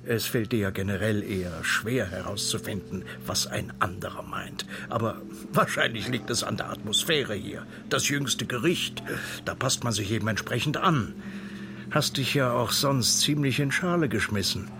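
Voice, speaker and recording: Gravelly, sardonic man. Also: lowish voice, moderate pace, background noise. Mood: sarcastic. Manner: sardonic, needling. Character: sarcastic male companion needling a know-it-all. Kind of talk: radio drama dialogue.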